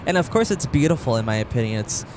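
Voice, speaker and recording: A man's voice: mid-range voice, sing-song, fast. A man hosting a live plane spotting stream outdoors beside an airport runway, with enthusiastic sportscast-style commentary. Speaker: plane spotting livestream host. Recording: outdoor feed with clear traffic noise.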